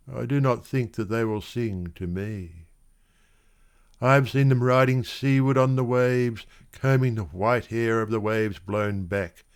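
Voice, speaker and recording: A man reciting literary verse dramatically, with measured, expressive phrasing. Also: fairly low pitch. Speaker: poetry reader.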